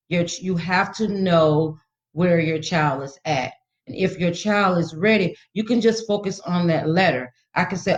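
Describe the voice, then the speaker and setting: A woman guest educator giving informal, instructive tips to parents in reassuring, colloquial language. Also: lowish voice. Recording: slightly dull, lo-fi audio.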